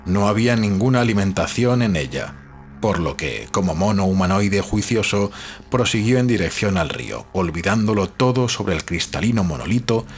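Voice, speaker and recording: A man from reads literary fiction as a scripted audiobook narration, in a measured, expressive storytelling manner. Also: lowish voice. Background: background music.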